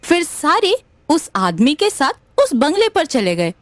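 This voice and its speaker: A woman narrating scripted animated stories as the storyteller. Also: sits high, slow, no echo.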